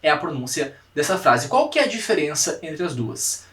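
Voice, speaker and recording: A young Brazilian man gives an online language lesson, his voice pitched a little high for a man. He repeats practice words slowly and keeps asking his students whether they follow. The sound is roomy and echoey, as if he stands some way from the mic. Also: big pitch swings, fairly bright tone, distant mic. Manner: drills pronunciation by repeating words slowly, checks in with "tá, gente?". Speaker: language teacher. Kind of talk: online class lecture.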